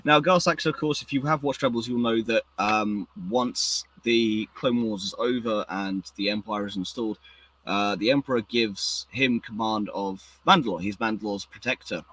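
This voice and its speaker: A hoarse man hosting a casual podcast chat, talking excitedly and rambling with many restarts. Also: lowish voice, moderate pace, no room sound, low-quality recording. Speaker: podcast host.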